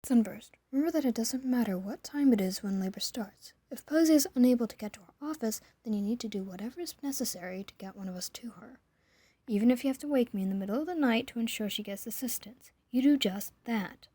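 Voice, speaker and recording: A woman voicing a caring pony nurse in an audio story, professional, polite and calmly instructive. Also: a little high, fast talker, no room sound, close to an everyday voice. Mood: firm, kindly.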